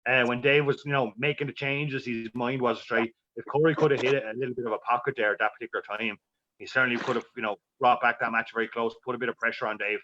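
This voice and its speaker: A man talks quickly through live fly-fishing commentary, circling back with fillers and repeated words as he thinks aloud. His voice sits mid-range, and the audio is dull and muffled. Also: mid-range voice, fairly even intonation, sharp tone, no room sound. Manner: rambling, many fillers and restarts. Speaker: expert co-commentator.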